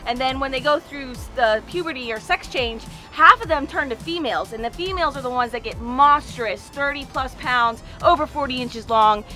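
An American woman in her thirties chatting casually and excitably as a fishing host, recorded outdoors on a small boat. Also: high-pitched, moderate pace, few pauses, over music. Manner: excitable, exclamatory, informal. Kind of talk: casual vlog chat, explaining to viewers. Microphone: action camera at arm's length or a few feet away.

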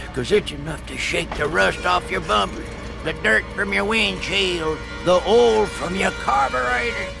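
A man's voice: fairly high pitch, gravelly. A man voicing a simple, good-natured rusty tow truck with a Southern US accent, folksy and rambling, in a cartoon game. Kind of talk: animated video game acting.

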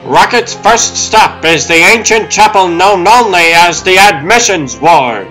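A dramatic comic-book narrator, played by a man, with a slightly high, bright voice. The delivery is formal and scripted, over a music bed. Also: animated, a little slow, heavily acted, poor audio. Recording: music under the voice.

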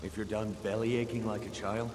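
A man's voice: fairly low pitch, level tone, a little fast, darkish tone, roomy sound, long reverb tail, a little hiss or hum, lightly acted. An actor playing a wry, condescending male boss of a thieves' guild in a video game. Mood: stern, mocking.

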